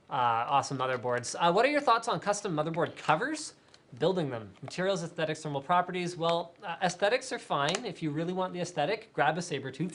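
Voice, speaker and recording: A man's voice: on the high side, slight background noise. Chatty man hosting a tech stream. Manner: energetic, chatty, many fillers. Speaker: tech show host. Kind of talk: casual live-stream chat, reading viewer questions.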